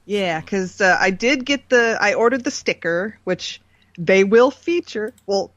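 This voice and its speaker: A woman's voice: mid-range voice, animated, a little slow, a bit bright, no echo. A woman co-hosting a podcast in casual chat, recorded on a dull lo-fi track. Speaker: podcast co-host.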